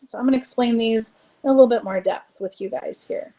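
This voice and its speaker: A woman's voice: on the high side, brisk, mellow. A woman giving an online teacher training presentation on classroom activities, speaking casually with frequent fillers.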